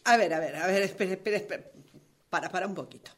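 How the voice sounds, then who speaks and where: An actress with a Rioplatense Argentine accent playing a defensive, flustered novelist in a radio play rehearsal, hesitant and protesting. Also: female voice, on the low side, racing, natural voice. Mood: firm, flustered. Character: flustered, defensive woman novelist facing her own character.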